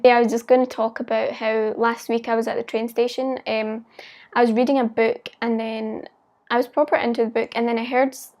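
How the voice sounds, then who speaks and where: A woman's voice: fairly high pitch, a little mellow. A young woman with a mild Scottish accent, chatting casually to viewers as a language-learning vlogger. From Scotland. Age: young adult.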